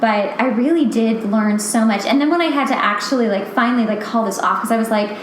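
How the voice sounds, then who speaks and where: A woman's voice: fairly high pitch, a little fast, reverberant, slight background noise. A woman hosting a podcast, chatting casually with frequent laughs and fillers. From Los Angeles. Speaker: podcast host and author. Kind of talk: casual chat.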